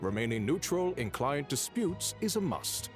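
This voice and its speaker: Fawning male desk clerk in a game, smooth, obsequious, wildly swinging pitch. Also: very animated, moderate pace, music in the background. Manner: fawning and smooth, flustered when pressed.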